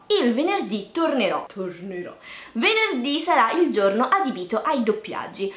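A woman's voice: a little high, a bit bright. A woman chats casually to camera as a vlogger, playful and self-deprecating. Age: early 20s. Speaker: YouTube vlogger. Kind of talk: casual vlog talk to camera. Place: small indoor room with bare walls. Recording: echoey untreated room.

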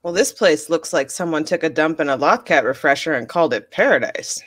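Woman playing blunt, haughty traveler, lively intonation. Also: sits low, fairly quick. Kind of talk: comedic live script table read.

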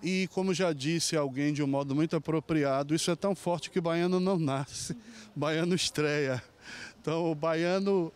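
A man interviewed outdoors on a city street, explaining in a thoughtful, easy way into a handheld mic. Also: a little high.

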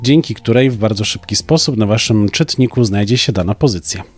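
A man's voice: fairly low pitch, brisk, slightly rough. A young man co-hosting a podcast, presenting a prepared book review. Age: young adult. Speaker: podcast co-host reviewing books. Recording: music playing behind the voice. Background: background music.

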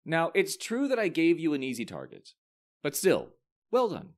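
A man voicing a cocky, combative teenage schoolboy in an audiobook, with a brash, drawn-out delivery. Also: wildly swooping pitch. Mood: pleased. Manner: brash, drawn-out, swinging from low drawl to scream.

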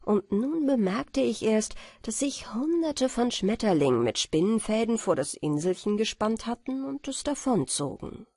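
A woman narrating a bedtime fairy tale audiobook in a gentle, measured storytelling manner. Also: on the high side, very animated, no room sound, clean studio sound.

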